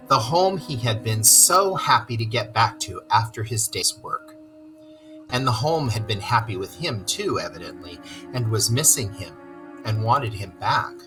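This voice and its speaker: Animated storybook narrator over music. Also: medium pitch, low-quality recording. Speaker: storyteller reading aloud to children. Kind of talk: expressive scripted storybook narration with asides.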